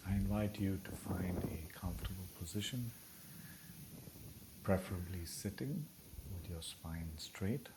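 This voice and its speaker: Guy doing a guided meditation, really deep voice, soothing, noticeable ambient noise behind him. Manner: soothing, unhurried, with long pauses between phrases. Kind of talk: slow, gentle guided meditation.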